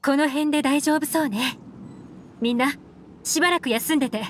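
A woman voicing a composed, shrewd adult investigator in an anime game, explaining in a cool, measured, analytical way. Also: sits high, noisy background, close to an everyday voice. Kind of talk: Japanese anime game voice acting. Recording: music under the voice.

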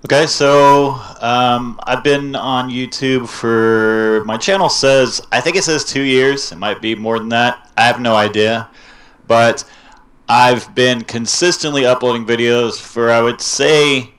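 A man's voice: mid-range voice, steady tone, a little slow, fairly bright tone. A man talking casually and frankly as an interview guest, loose and swearing now and then.

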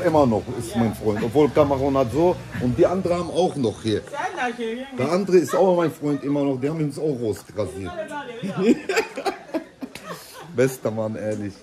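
A man in his thirties vlogging casually to a handheld camera, chatty and joking with his viewers. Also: mid-range voice. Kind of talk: casual vlog narration to camera. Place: hair salon and city street. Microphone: handheld camera at arm's length.

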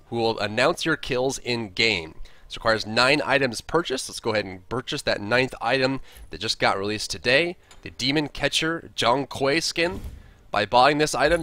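A man's voice: mid-range voice, bright, forceful tone, dry recording. A man presenting a scripted video tutorial, explaining things step by step. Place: close, dry voice-over recording.

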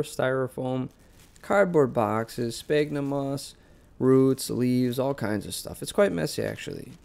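Sick, nasal man with gravelly voice. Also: medium pitch. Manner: relaxed, rambling, conversational. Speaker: plant channel host. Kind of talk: casual voice-over vlog narration. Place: basement plant room.

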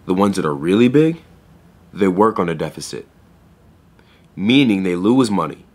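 Young store clerk, lowish pitch, patient, natural delivery, audio drama. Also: male voice, sing-song, normal speed. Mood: upbeat, tender. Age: young adult. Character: young pet store clerk and expectant dad, coolly patient. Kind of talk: podcast audio drama acting.

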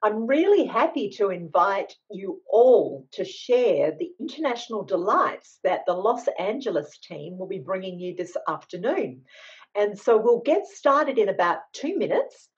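A woman's voice: medium pitch, very animated, measured pace. Woman in her 50s welcoming people to an online class, bit muffled video call sound. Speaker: sales manager opening an online cooking class. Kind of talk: welcoming webinar introduction. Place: small home office on a video call. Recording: dull video call audio.

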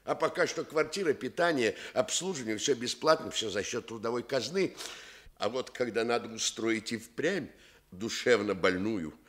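Soviet radio drama: fawning, scheming male director, played by an actor, boastful and wheedling, very animated pitch. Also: normal speed, lightly acted. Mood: indignant, gossipy. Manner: obsequious, wheedling, wordy and excitable. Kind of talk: Soviet radio stage-play acting.

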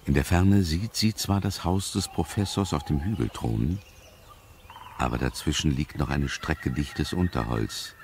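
A man narrating a scripted audio drama in a measured, suspenseful manner. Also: extremely low pitch, darkish tone, slight background noise. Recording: music under the voice.

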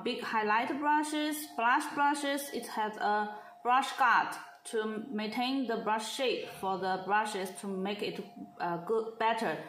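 A woman from a brush company unboxing a gift set at a table, speaking in halting, simple phrases with frequent restarts. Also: mid-range voice, a bit bright, roomy sound, slight background noise. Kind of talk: product unboxing walkthrough. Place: indoor showroom at a marble table.

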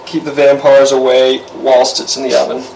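A man's voice: mid-range voice, monotone, normal speed, creaky, darkish tone, slight background noise. A young man casually talking through cooking a roast in his home kitchen, rambling with lots of fillers. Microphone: camera mic from across the room. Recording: roomy, distant camera sound.

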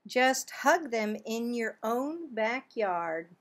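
A woman in her sixties reading a children's picture book aloud, expressively, with drawn-out words and pauses. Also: a little high, expressive, a bit bright. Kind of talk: children's storybook read-aloud. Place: small home office, indoors.

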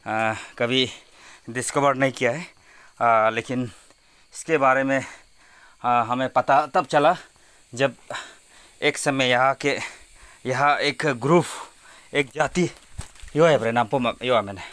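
A man with a Northeast Indian accent narrating casually on location outdoors at a cave, in halting, repetitive, simple phrases. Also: mid-range voice, a bit bright, clear, resonant tone, no room sound. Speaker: vlogger exploring a cave. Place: outdoors at a forested cave mouth. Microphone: handheld phone.

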